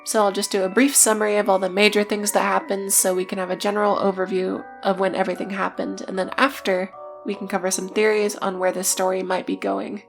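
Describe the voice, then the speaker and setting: A woman's voice: mid-range voice, flat delivery, a little fast, creaky. A woman narrating a scripted video essay in a matter-of-fact way, with casual, dryly irreverent asides. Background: music.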